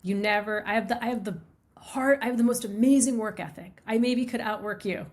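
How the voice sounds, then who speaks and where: A woman's voice: on the high side, a little fast, darkish tone. A middle-aged woman from the United States, a guest author on an interview podcast, telling personal stories in an earnest, reflective way. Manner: earnest, reflective storytelling with repeated phrases. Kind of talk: conversational interview.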